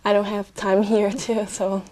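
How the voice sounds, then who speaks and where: Young woman, exam candidate, hesitant and warm-toned, mid pitch. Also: level tone, unhurried. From Brazil. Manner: hesitant, with many fillers and soft laughs.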